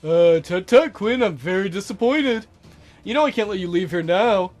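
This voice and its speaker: A man's voice: high-pitched, animated, normal speed, darkish tone, soft background music. A man doing a smug drawling villain. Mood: smug menacing. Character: smug scheming male relic dealer villain.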